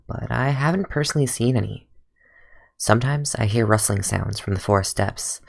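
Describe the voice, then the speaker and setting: A young man voicing a calm, sardonic male fox-eared forest ranger and scholar in a fan redub of game lines. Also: lowish voice, vocal fry, slightly rough, full character voice. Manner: dry, sardonic, with soft musing asides. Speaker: fan voice actor.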